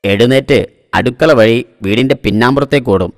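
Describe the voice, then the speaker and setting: A man's voice: mid-range voice, brisk, no room sound, clean studio sound, long reverb tail, natural voice. A man voicing a boastful, easily exasperated self-styled computer expert in a comic cartoon. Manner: pompous, boastful.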